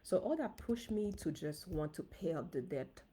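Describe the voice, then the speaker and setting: A woman from Haiti speaks with a Haitian accent and a slightly husky, mellow voice pitched a little low. She talks straight to her viewers in an animated, casual livestream style. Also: normal speed. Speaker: dentist and finance coach. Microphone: phone.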